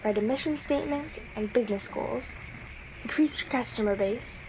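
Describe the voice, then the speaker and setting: A woman's voice: a little high, moderate pace, lightly acted. An actress playing an earnest, rule-abiding young girl scout chattering dutifully to herself in a radio audio drama. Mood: eager, dutiful. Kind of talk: scripted audio drama monologue. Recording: noisy radio transmission.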